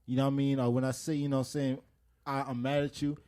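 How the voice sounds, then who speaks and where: A man from Liberia talks quickly on a relaxed podcast, his words tumbling out at a brisk clip. His pitch sits in an ordinary middle range, and he keeps circling back with "you know what I mean" as he thinks aloud. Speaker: podcast co-host. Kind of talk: casual podcast chat.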